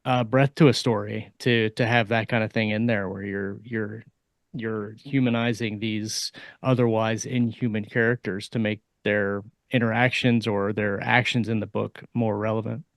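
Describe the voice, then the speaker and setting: Man talking haltingly on a dry-sounding podcast. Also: on the low side, little pitch movement, dry recording. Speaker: podcast panelist. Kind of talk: casual podcast discussion.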